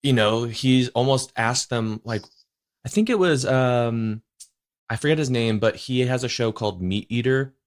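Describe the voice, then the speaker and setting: A man's voice: medium pitch, a little slow. A young man hosting a podcast, chatting casually and rambling, with lots of "you know" and "like". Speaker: podcast host. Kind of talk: casual interview chat.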